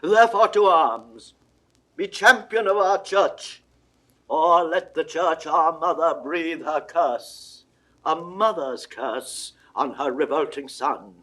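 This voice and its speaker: An actor playing a stern, imperious cardinal, declaiming in measured, commanding classical Shakespearean radio-drama style. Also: male voice, a little high, a bit bright. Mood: stern, threatening. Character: stern imperious Roman cardinal, threatening churchman. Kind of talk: 1960s classical Shakespearean audio drama acting.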